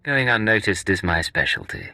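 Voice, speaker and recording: Deep, sharp-edged male secret agent. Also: expressive, moderate pace, exaggerated voice. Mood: dry, defiant. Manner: curt, sardonic. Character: dry, confident male secret agent of an empire. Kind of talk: video game dialogue acting. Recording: music behind the voice.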